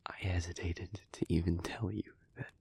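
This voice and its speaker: A man playing an earnest, guilt-ridden demon in love, speaking in a hushed, breathy, halting voice in an intimate audio roleplay. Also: deep voice, little pitch movement, exaggerated voice. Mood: tender, sorrowful. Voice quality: breathy, husky, whispery. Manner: slow, halting, hushed delivery with pauses.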